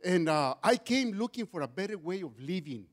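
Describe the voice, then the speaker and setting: A middle-aged man with a Mexican accent, Spanish his first language, testifying in church. His voice sits high for a man and carries loudly. He speaks earnestly and often restarts his sentences. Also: big pitch swings, moderate pace. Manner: loud voice, earnest with many restarts. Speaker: guest speaker giving testimony.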